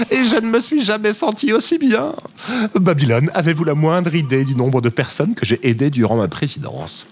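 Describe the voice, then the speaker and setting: A man's voice: on the high side, moderate pace. A man playing an exuberant, naive former president turned happy phone operator in a comic audio drama, gushing theatrically. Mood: elated, gushing. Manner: gushing, theatrical, wildly animated. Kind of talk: audio drama comedy acting. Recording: faint background noise.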